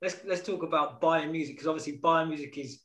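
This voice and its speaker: A middle-aged radio host asks his questions haltingly, repeating himself before getting to the point. His voice sits fairly high for a man, and the video call from home sounds echoey and roomy. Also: a bit bright. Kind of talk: casual interview chat. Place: home room, indoors.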